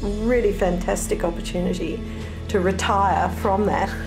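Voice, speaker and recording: A woman's voice: mid-range voice, normal speed. A woman telling her own story casually in an interview. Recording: roomy, music behind.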